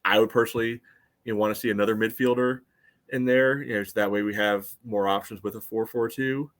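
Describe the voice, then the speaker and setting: A man co-hosting a soccer podcast, giving casual analysis in a measured, conversational way. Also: lowish voice, fairly even intonation, a bit bright.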